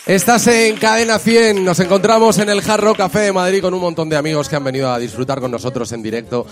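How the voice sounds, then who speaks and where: A man's voice: sits high, fast talker. A man hosting a live radio show at a café venue, upbeat and prompting, finishing his guests' sentences. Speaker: radio host.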